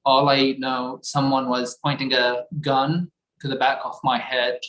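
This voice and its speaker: A man, earnestly recounting his own life story in a measured way. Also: medium pitch, level tone, fairly bright tone. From Iraq. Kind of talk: personal testimony. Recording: roomy echo.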